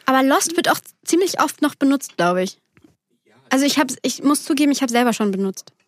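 A woman's voice: high voice, expressive, fairly quick, no room sound. A young woman in her twenties co-hosting a podcast in casual chat, friendly and teasing, laughing often. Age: 20s. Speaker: podcast co-host.

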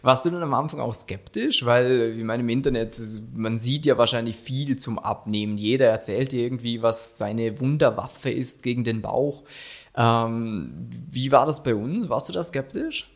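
A man's voice: mid-range voice, moderate pace. A man, a fitness coach, hosting an interview and prompting his guest with many affirming murmurs. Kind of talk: podcast interview.

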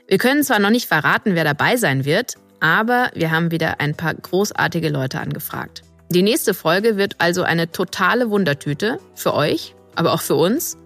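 A woman's voice: deep voice, fairly bright tone. A woman presenting a podcast in a chatty way, addressing listeners directly. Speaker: podcast host.

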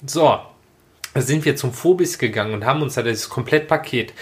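A man's voice: medium pitch, a little fast. A man in his late thirties chatting casually and humorously, telling anecdotes in a colloquial, sweary, mock-dramatic way. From Germany, Rhineland. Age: late 30s. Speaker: podcast co-host.

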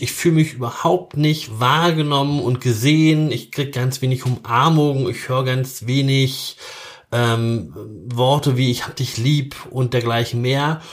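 A middle-aged man, a couples therapist, hosting his own podcast and calmly explaining a concept with occasional filler sounds. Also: medium pitch. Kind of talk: solo podcast monologue.

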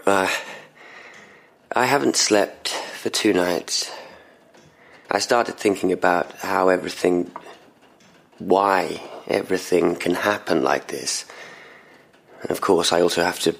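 An actor playing a distraught, sleepless police constable in an audio drama, speaking haltingly and earnestly. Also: male voice, low voice, vocal fry, fairly bright tone, full character voice. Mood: troubled.

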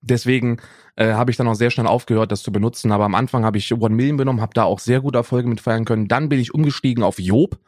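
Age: young adult. Role: podcast co-host. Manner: rambling casual chat, lots of "ne?" and "bro", middle pitch. Sound: dry, close mic with no room at all. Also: male voice, steady tone, fast talker, darkish tone, no echo. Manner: rambling, tags lines with "ne?" and "Bruder".

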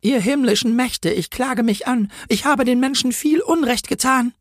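Man putting on a shrill, officious female traffic warden. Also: normal speed, no room sound, studio quality. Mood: remorseful confessing. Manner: scolding, interrogating, sneering. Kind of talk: acted character in a comic Christmas story.

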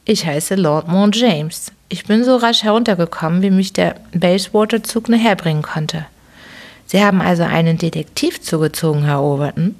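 A woman voicing a miserly, whining old man with a sharp, firm tone in an audiobook, firing off querulous questions. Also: lowish voice, normal speed. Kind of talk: audiobook character reading.

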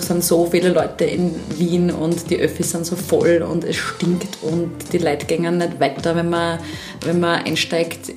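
Chatty Styrian woman in an echoey room. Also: lowish voice. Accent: Styrian Austrian German. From Upper Styria, Austria. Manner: chatty, animated, many fillers, laughs easily. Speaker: podcast guest. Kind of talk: casual chat.

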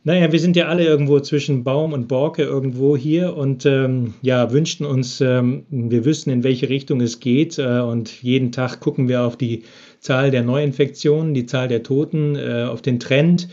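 A man's voice: mid-range voice, fairly even intonation, normal speed, warm tone. Hesitant man on a dull remote line. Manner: hesitant, frequent filler sounds. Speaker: business expert interviewed from Japan. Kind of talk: podcast interview answers.

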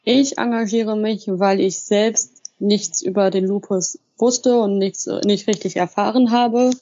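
A woman talks conversationally on a podcast. Her voice is mellow and medium in pitch, and the recording sounds dull. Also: steady tone, a little slow. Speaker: podcast participant, self-help group member.

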